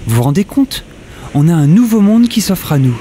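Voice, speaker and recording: A man's voice: sits high, very animated, moderate pace, dark tone, a little hiss or hum. A man playing an upbeat young hero who tells his own adventure in a casual audio drama, chatting directly to listeners. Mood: hopeful, excited. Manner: conversational, addresses listeners directly.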